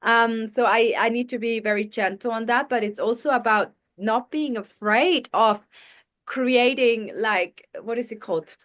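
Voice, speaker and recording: A woman's voice: fairly high pitch, a little slow, a bit bright. A woman telling a personal story in a casual chat, candid and earnest.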